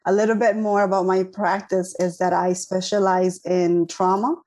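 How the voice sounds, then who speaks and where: Mellow-voiced woman explaining thoughtfully. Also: medium pitch, little pitch movement, normal speed. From Dominican Republic. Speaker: guest clinical psychologist. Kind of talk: interview answers.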